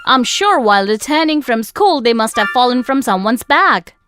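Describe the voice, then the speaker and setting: A woman voicing a gently firm, moralising mother admonishing a child in a children's animated story. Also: a little high, moderate pace, a little hiss or hum. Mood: gently scolding. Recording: music behind.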